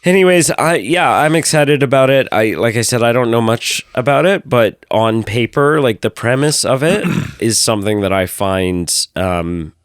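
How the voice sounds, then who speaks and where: A man co-hosting a casual podcast chat, hoarse and nasal from losing his voice, teasing his co-host. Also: mid-range voice, lively intonation, normal speed, few pauses, no room sound, studio quality. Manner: teasing, sarcastic banter.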